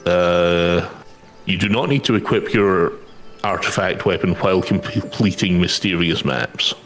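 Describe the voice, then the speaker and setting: A low-voiced man casually talks a fellow player through game instructions. His overall pace is unhurried and his pitch moves around, with faint music behind. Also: fairly bright tone. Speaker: co-player explaining quest requirements. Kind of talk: casual explanation, reading from a game guide.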